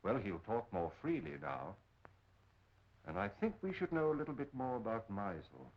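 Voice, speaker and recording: A polite, unhurried detective with a husky voice that moves up and down expressively. His delivery is formal and measured, in 1930s film style, on a dull old soundtrack. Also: lowish voice, wildly swooping pitch.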